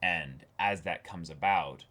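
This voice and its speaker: A man co-hosting a reaction show, talking casually in an earnest, reflective way with halting, repeated words. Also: low-pitched, bright, forceful tone. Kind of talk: casual discussion of an anime episode.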